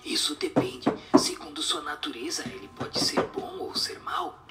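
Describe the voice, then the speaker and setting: A woman voicing a solemn, instructive spirit in a radio drama, with a breathy, whispery voice and formal delivery. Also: measured pace, loud music behind the voice, close to an everyday voice.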